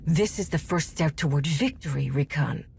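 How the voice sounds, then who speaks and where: Zealous, commanding rebel leader, low-voiced. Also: female voice, moderate pace, creaky, a little mellow, a little hiss or hum. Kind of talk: cinematic video game acting.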